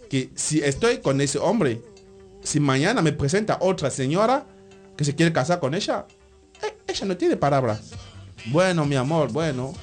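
A man from Cameroon, a radio interview guest who talks in halting restarts and keeps checking that he is understood. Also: fairly high pitch.

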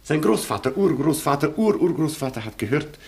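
An actor playing a proud, gallant Polish aristocrat colonel in a German radio play, with a Polish accent and an imperious, grandiloquent way of talking. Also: male voice, fairly high pitch, big pitch swings, brisk, darkish tone. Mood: lofty, commanding. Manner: grandiloquent, imperious, broken formal syntax.

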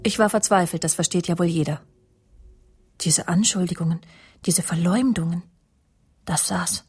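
A woman's voice: lowish voice, steady tone, normal speed, a little mellow, slightly rough. An actress in a radio play, playing an embittered, defensive career woman who speaks in clipped dialogue and confides in inner monologue. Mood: brooding, distressed. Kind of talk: radio play dialogue and first-person narration.

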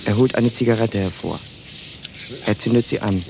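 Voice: mid-range voice, moderate pace, gravelly, music in the background, natural voice. A narrator reading stage directions in a radio play, matter-of-fact and detached.

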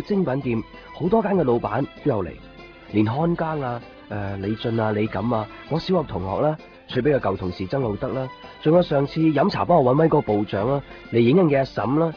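A man's voice: medium pitch, natural voice. An actor playing a polite, eager young man hosting a housewarming in a 1990s radio drama, with a Hong Kong Cantonese accent. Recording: dull lo-fi 1990s radio, music behind.

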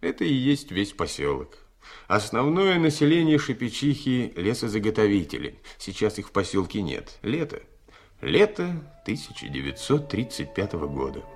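Male radio drama narrator: lowish, raspy, reflective, expressive intonation. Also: normal speed.

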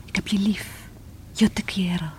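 Actress as bitter, heartbroken divorcee, radio drama; theatrical, hushed, breathy, some background noise. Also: female voice, medium pitch, flat delivery, normal speed, natural voice. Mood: anguished, hushed. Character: distraught lovelorn divorced woman confessing bitterly to a police inspector. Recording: noisy vintage radio play recording.

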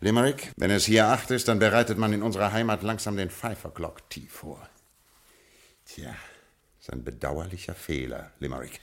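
Suave, ironic gangster lord. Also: male voice, sits low, normal speed. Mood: menacing irony. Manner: dry, ironic, drawling. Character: cool aristocratic British lord turned gangster boss. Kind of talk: 1950s radio crime play acting.

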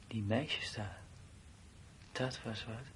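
An actor playing a weary, anxious twenty-one-year-old soldier who broods and mutters in a radio drama. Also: male voice, fairly even intonation, takes it slowly, a bit bright. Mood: weary, brooding. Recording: noisy old radio play recording with rumble.

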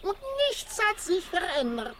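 Proud old female parrot, very high, drawn-out delivery, children's radio drama. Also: animated, a bit bright, a little hiss or hum, exaggerated voice. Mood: nostalgic, delighted. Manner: theatrical, drawn-out, exclaiming. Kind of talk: children's radio play acting.

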